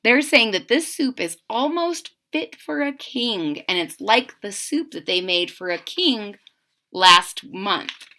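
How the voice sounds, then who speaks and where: A woman reading a children's story aloud and pausing to explain it in a gentle, animated way. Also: mid-range voice. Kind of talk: children's read-aloud with commentary.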